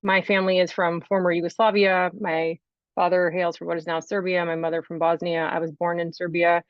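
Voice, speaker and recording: A woman's voice: fairly low pitch, flat delivery, brisk, no room sound, dull audio. A woman researcher raised in the United States, answering a panel question in an articulate, earnest way over a video call.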